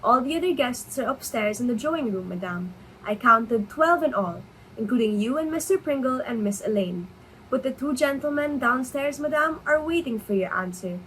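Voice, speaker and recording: A woman's voice: fairly high pitch, expressive, normal speed, fairly bright tone. Formal servant played by a woman, noisy recording. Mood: dutiful, deferential. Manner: polite, formal, dutiful. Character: deferential, formal household servant announcing to madame. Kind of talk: dramatic reading of a stage comedy.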